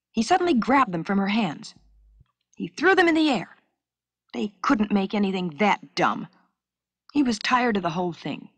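A woman reading scripted story narration for an educational film strip, with a clear storytelling delivery. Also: medium pitch, dry recording.

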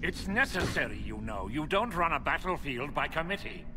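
A man's voice: on the high side, very animated, normal speed, over music. Pompous nobleman, wildly swooping voice. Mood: smug. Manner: pompous, theatrical. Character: haughty, impatient male nobleman, contemptuous of underlings. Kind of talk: video game cutscene acting.

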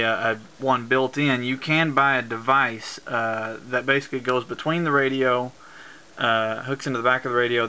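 A man's voice: mid-range voice, bright, forceful tone, clear, resonant tone, low-quality recording. A man giving an informal hands-on tutorial, explaining things plainly step by step. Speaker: amateur instructor demonstrating CB radio use. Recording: faint background noise, clicks, amateur camera.

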